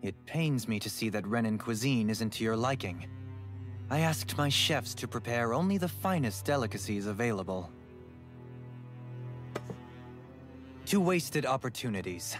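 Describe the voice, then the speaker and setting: An actor voicing a courteous, self-assured lord in a video game, speaking formally and eloquently in measured phrases. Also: male voice, medium pitch, lively intonation, natural voice. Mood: smooth, persuasive. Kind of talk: video game cutscene acting. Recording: loud music behind the voice. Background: music.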